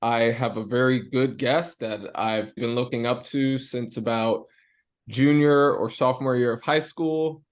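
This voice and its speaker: A man's voice: medium pitch, steady tone, measured pace. A young man hosting a podcast interview, eager and often stumbling over his words. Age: young adult. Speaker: podcast host. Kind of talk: casual interview.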